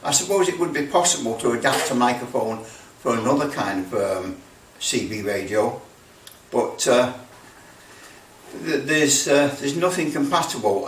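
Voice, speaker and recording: An older man in his sixties talks through a practical demonstration in a small workshop. He sits away from the microphone, so the room rings around his voice, with some faint noise behind him. His delivery is casual and halting, wandering as he thinks aloud. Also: mid-range voice, a bit bright. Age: 60s. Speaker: radio repair video maker. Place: small indoor workshop with block walls. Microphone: camera mic at a distance. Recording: roomy, distant mic, background noise.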